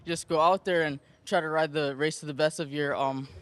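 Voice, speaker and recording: A man with a Jamaican accent, a jockey giving a relaxed, joking post-race interview in a soft voice. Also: on the high side, fairly quick. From Jamaica and Florida.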